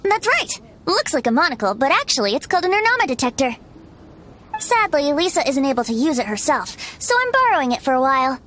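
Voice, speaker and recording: A woman's voice: high-pitched, sing-song, fairly quick, fairly bright tone, a little hiss or hum. A woman voicing a playful, teasing young male bard in a video game, light and boyish with a breezy theatrical manner. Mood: breezy explaining. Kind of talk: video game character acting. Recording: music behind the voice.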